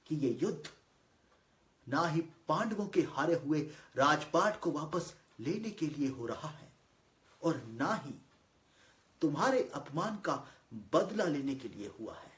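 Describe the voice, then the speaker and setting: A man performing a theatrical monologue as a solemn god, declaiming deliberately with weighty pauses in formal speech. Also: fairly high pitch, animated, a bit bright. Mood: solemn, firm. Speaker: monologue actor. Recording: roomy, echoing voice recording.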